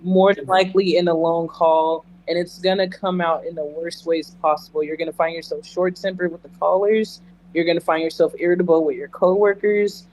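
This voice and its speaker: A man's voice: a little high, steady tone, fairly quick, a little mellow, a little hiss or hum, low-quality recording. A man speaking candidly and emphatically as a guest in a conversational interview, repeating phrases for emphasis. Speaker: interview guest, emergency dispatcher. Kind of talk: earnest conversational interview answers.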